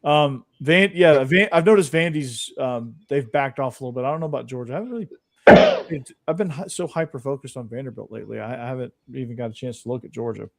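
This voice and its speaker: A man's voice: mid-range voice, quick pace. A man with a Southern accent co-hosting a casual sports podcast, rambling with many fillers. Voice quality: gravelly. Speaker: podcast co-host.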